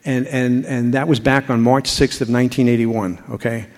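An older man telling his recovery story to a group, halting and full of restarts. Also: medium pitch, little pitch movement, a little mellow, a little hiss or hum. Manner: halting, with frequent repeats and restarts. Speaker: speaker sharing a recovery story. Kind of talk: personal testimony to a group. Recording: hall reverb.

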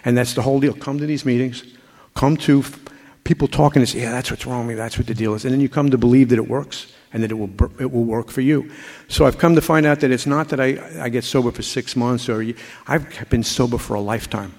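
Older man, halting testimony in hall. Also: mid-range voice, darkish tone. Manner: halting, with frequent repeats and restarts. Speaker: speaker sharing a recovery story. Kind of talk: personal testimony to a group. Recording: hall reverb.

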